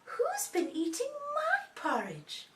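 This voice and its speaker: A woman's voice: very high-pitched, huge pitch swings, takes it slowly, voice pushed up, heavily acted. A woman voicing an indignant mother bear in a children's story, exclaiming in a sing-song way. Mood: shocked. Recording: echoey room, distant mic, noisy.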